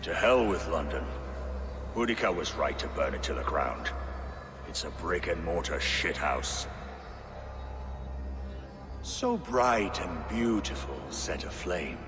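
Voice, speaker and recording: A bitter, grandiose male villain from a video game. He speechifies very slowly and menacingly in a fairly low voice. Music plays behind him. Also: animated, full character voice. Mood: contemptuous, bitter. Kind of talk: dramatic video game cutscene acting.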